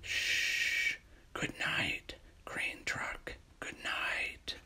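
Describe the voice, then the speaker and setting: A middle-aged man reading a children's picture book aloud in a gentle, unhurried bedtime manner, with a husky, creaky voice. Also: in a whisper. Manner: gentle, unhurried bedtime delivery with hushed refrains. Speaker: storytime reader.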